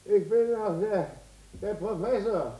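A man's voice: high-pitched, a little slow, warm tone. An actor playing a pleading man who poses as a professor in a 1950s German radio drama. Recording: echoey, distant-sounding room.

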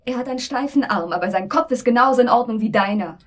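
An actress playing a feisty, protective young woman with a husky voice in a naturalistic radio drama. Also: fairly high pitch, fast, lightly acted. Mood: snappy, defiant.

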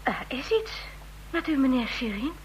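A polite female housekeeper in a vintage radio play, attentive and deferential toward the man she serves. in a high, light voice, with a faint hiss behind it. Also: big pitch swings, normal speed. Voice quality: solicitous, deferential. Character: polite female housekeeper serving her employer. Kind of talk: vintage radio drama acting.

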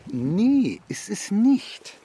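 A man's voice: high voice, sing-song, drawn-out words, dark tone, slightly rough, full character voice. A man acting an eager, muddled ship's navigator in a children's radio play, hesitant and easily flustered. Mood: confident.

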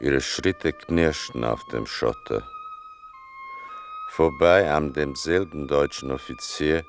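An actor playing a grave son who narrates his mother's wartime story in a deliberate, restrained radio-play style. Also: a bass voice, vocal fry, exaggerated voice. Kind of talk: slow, measured literary narration in a radio play.

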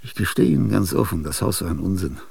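An actor in a radio drama playing an ailing, aging male genius, restless and grandiose. He speaks confidingly in a husky, gravelly voice, with sudden outbursts and whispers. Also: low-pitched, animated, fast, darkish tone, dry recording, exaggerated voice. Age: older. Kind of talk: theatrical radio drama monologue.

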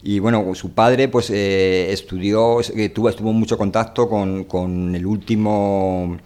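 Deep-voiced Spanish man chatting casually. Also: steady tone, measured pace, a little mellow. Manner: hesitant, repeating words as he searches for phrasing. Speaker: radio show host. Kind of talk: casual, rambling literary discussion.

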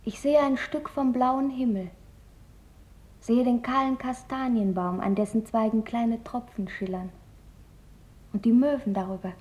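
Radio drama casting: woman as teenage girl diarist, wistful and reflective, higher-pitched, mellow tone. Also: measured pace, long pauses, close to an everyday voice. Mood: wistful, yearning. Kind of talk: literary diary reading in a radio feature.

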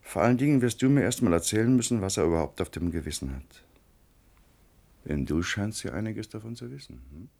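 A man's voice: fairly low pitch, expressive, fairly quick, creaky, darkish tone. An actor in a German radio play playing an evasive, defensive father who is a district inspector, middle-aged or older. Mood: cautious, probing.

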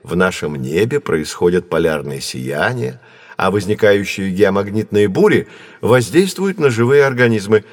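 An actor playing a learned, patient astronomy professor who explains things in a measured, didactic way in an educational audio drama. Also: male voice, lowish voice, sing-song, no echo, clean studio sound. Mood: patient explaining. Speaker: teacher.

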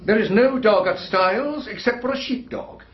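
An actor playing a defensive, indignant husband under questioning, clipped and haughty, in a radio drama. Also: male voice, sits high, moderate pace, close to an everyday voice. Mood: indignant denial. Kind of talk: British radio drama acting. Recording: dull, band-limited radio drama recording.

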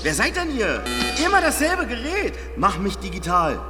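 A man's voice: sits high, huge pitch swings, moderate pace, over music. A man playing the grumpy last human alive. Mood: sarcastic. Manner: sarcastic, grumbling, crude. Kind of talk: audio drama acting.

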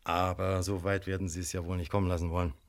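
A man playing a courteous but firm, wily police inspector in a radio crime drama, speaking formally and deliberately with polite menace. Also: low voice, little pitch movement. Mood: formal, warning.

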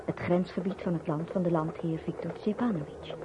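A woman narrating a radio play as a storyteller, with dramatic phrasing, deliberate pauses and rhetorical questions. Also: lowish voice, steady tone, heavy background noise. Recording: dull, band-limited old radio recording. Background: music.

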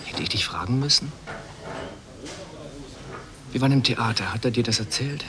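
A man playing a young student in a radio play, calm and probing in his talk. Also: medium pitch, normal speed, crowd noise, close to an everyday voice. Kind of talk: German radio play acting. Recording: clear background ambience noise.

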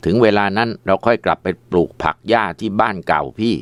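A man voicing a desperate, defiant young husband in a spoken story, shouting and pleading. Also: fairly low pitch, fast, no echo, close to an everyday voice. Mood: calm.

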